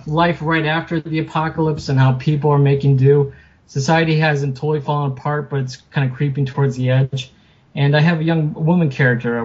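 A man being interviewed as a guest author, chatting casually in a halting, self-deprecating way. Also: on the high side, a little mellow. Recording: muffled lo-fi sound with noticeable background noise.

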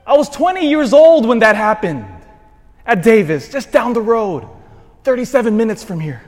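A man in his forties, a parish priest giving a sermon, swinging from shouted emphasis to quiet asides. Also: very high-pitched, sing-song, moderate pace. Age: 40s. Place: church. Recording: long church reverb.

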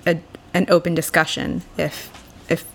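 Low, gravelly-voiced thoughtful woman. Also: level tone, normal speed, a little hiss or hum. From Boston, USA. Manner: thoughtful, reflective, earnest. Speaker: podcast guest. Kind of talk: casual podcast conversation.

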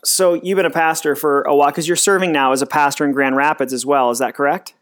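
A man's voice: on the high side, steady tone, fast talker, a bit bright. A man hosting a podcast interview, asking casual questions in a friendly way, with fillers and short affirmations. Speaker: podcast host. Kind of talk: interview host, scripted intro then casual questions.

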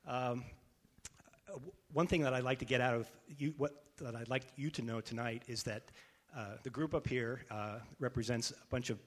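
A man's voice: medium pitch, steady tone, moderate pace. A man introducing himself on a panel, rambling through frequent restarts.

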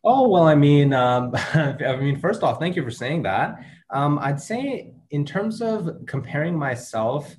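A man's voice: a little high, sing-song, unhurried, darkish tone. A young man whose first language is Urdu, answering interview questions in an earnest, casual way with many ums and restarts. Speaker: interview guest, medical student.

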